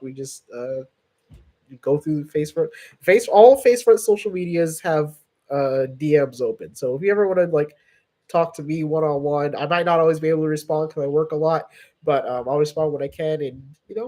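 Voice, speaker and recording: A man's voice: a little high, dark tone. A man hosting a channel, chatting casually and chummily with fans on a livestream in a rambling way. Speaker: host of a fan series channel answering questions.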